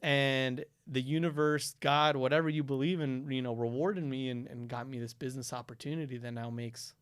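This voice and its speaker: Young dude from ecuador, casual storytelling, lots of restarts, dry close sound. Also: male voice, mid-range voice, normal speed, smooth, no room sound. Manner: animated storytelling, frequent "dude" and "like", many restarts. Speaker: guest. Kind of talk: casual podcast conversation.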